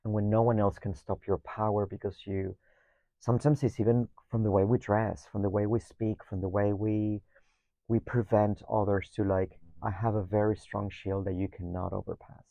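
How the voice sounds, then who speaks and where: A Mexican man in his thirties speaks as an interview guest, his low voice a little gravelly and mellow. He often stops, repeats words and tags sentences with a questioning "no?". The recording is dry and slightly dull. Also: flat delivery, normal speed, dry recording. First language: Spanish. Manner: hesitant, repeats words, ends with "no?". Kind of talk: reflective conversation.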